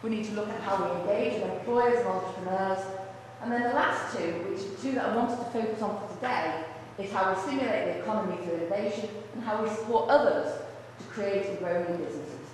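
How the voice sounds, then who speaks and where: A woman, a college vice principal giving a brisk, businesslike presentation to a room without a microphone. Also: mid-range voice. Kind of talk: presentation to a luncheon audience. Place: large echoey function room. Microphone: far room microphone. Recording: echoey hall from far off, faint noise.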